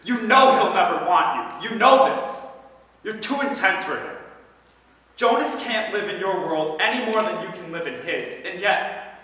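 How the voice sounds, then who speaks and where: In a very echoey hall, far from the mic, a teenage boy plays a bitter, scornful male outsider on stage. The delivery is theatrical and sarcastic, with a bright, intense edge. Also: high-pitched, animated, a little slow, heavily acted. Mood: intense, pleading. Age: teens. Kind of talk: stage dramatic monologue.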